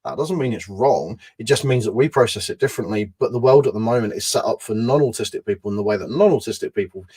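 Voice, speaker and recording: A man's voice: lowish voice, fast, few pauses, mellow, slightly rough. A man, an advocate and trainer, speaking earnestly in a conversational interview and explaining at length with lots of "you know" and "kind of".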